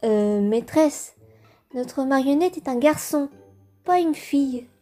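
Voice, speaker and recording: A woman's voice: high-pitched, expressive, takes it slowly, dark tone, soft background music. A woman voicing a polite, reassuring young schoolboy in a comic dub. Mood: hesitant.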